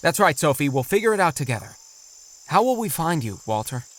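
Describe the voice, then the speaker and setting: Kindly, sensible dad, lively pitch. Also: male voice, a little fast. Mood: practical. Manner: reasonable, encouraging. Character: kindly, sensible, practical father of two kids. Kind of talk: kids' audio drama acting.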